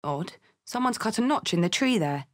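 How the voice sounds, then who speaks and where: A woman's voice: mid-range voice, lively intonation, moderate pace, lightly acted. An actress voicing a battle-hardened tiefling barbarian woman in a video game, with a blunt, earthy, wry way of talking. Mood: matter-of-fact. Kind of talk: video game character voice lines.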